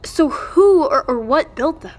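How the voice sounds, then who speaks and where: A woman's voice: high-pitched, expressive, a little slow. An actress playing a skeptical, worried woman who presses for answers in an earnest, argumentative way in an audio drama. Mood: anxious, questioning.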